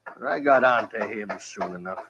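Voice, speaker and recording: An actor playing a proud, blustery older cattle rancher with a rural Western American accent in a radio drama. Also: male voice, lowish voice, normal speed, natural voice. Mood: scornful. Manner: blustery, boastful, folksy. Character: proud, cantankerous old cattle rancher turned hotel builder. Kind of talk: 1950s radio drama acting. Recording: old radio recording, dull and hissy.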